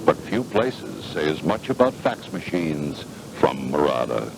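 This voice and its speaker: A man's voice: extremely low pitch, sing-song, unhurried, vocal fry. A man narrating a scripted radio commercial as an announcer. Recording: very noisy 1980s radio tape.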